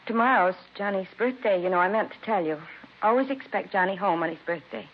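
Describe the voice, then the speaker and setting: A woman's voice: mid-range voice, brisk, close to an everyday voice. An American actress playing a weary, plaintive working mother of a missing son in a 1950s radio drama. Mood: wistful. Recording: muffled old radio recording, faint noise.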